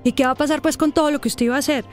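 A woman's voice: high voice, fairly even intonation, very fast, faint music behind, natural voice. An actress playing a curious, practical teenage schoolgirl with a Colombian accent in a radio soap, chatty and inquisitive. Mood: concerned. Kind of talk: radio soap opera acting.

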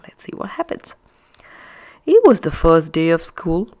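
A woman narrating a children's story aloud, with expressive, emphatic phrasing for young listeners. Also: sits low, a little slow.

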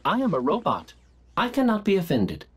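Game robot: gentle medical companion, literal and courteous, lilting, slightly high register. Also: male voice, wildly swooping pitch, normal speed, husky, heavily acted. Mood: calm, clinical. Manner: precise, polite, clinical phrasing. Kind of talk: video game voice acting.

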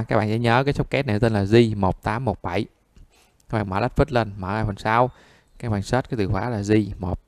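A man's voice: medium pitch, no echo, studio quality. A man giving a conversational step-by-step repair tutorial, often checking that listeners follow. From Vietnam. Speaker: phone repair instructor.

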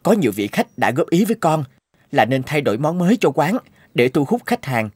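A man's voice: a little high, big pitch swings, brisk, a little mellow, husky, dry recording, studio quality. A man playing an earnest, polite young teahouse owner in a cartoon, explaining things respectfully. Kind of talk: Vietnamese cartoon dub.

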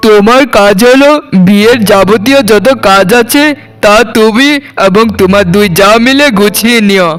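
A cartoon family patriarch played by a man with a notably high, clean voice. He is the earnest eldest brother and husband, quick to defend his sister. Light music plays underneath. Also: a bit bright, clear, resonant tone. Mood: pleased, instructing. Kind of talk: scripted cartoon dialogue.

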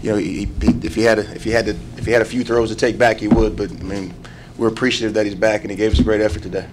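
Fast-talking coach with many fillers. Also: male voice, on the low side, little pitch movement, very noisy. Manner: quick, halting, many "you know" fillers. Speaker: head football coach. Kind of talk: postgame press conference answers. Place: press room before a sponsor backdrop. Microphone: table mic in front.

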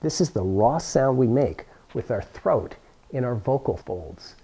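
A man's voice: mid-range voice, expressive, measured pace, background noise. A middle-aged American man presenting a science how-to demonstration, explaining step by step on a lapel microphone. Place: school workshop or lab room, indoors.

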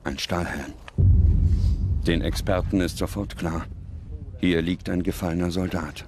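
A man reading scripted documentary narration in a solemn, measured manner with dramatic pauses. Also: low-pitched, steady tone, noisy background. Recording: loud music under the voice. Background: music.

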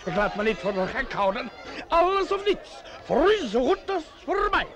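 A melodramatic lion tamer, pleading in a very high voice that leaps up and down. He, in an old radio comedy with music. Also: sing-song, normal speed, crowd noise, exaggerated voice. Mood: indignant. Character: excitable, theatrical Italian lion tamer.

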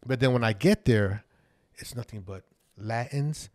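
A man's voice: mid-range voice, measured pace. Here a middle-aged man with a New York accent tells old DJ stories in casual podcast talk, swearing freely and punching certain words. He speaks close into a studio mic in a small room. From Brooklyn, New York. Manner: animated, profane storytelling with bursts of emphasis. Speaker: guest DJ. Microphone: studio mic close up, wearing headphones.

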